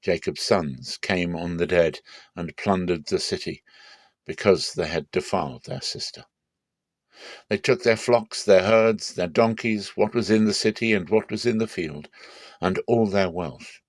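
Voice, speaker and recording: A man's voice: low-pitched, measured pace, no echo. A man reading scripture aloud as dramatic, scripted narration in a theatrical manner. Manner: theatrical, acts out quoted speech. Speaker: Bible reader.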